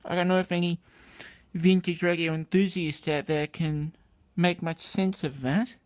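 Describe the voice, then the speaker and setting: A man's voice: fairly high pitch, fairly even intonation, a little slow. A man with an Australian accent giving a casual, unscripted show-and-tell, thinking aloud in a hesitant, detail-focused way. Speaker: vintage radio hobbyist, video maker. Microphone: handheld camera or phone microphone.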